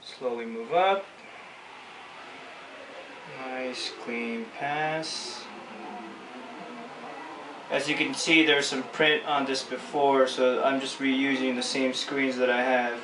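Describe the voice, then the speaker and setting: A man's voice: mid-range voice, fairly even intonation, takes it slowly, long pauses. A man with a Filipino accent giving an informal hands-on tutorial, casually talking through each step as he works. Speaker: screen printing instructor. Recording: echoey room, distant mic, faint noise.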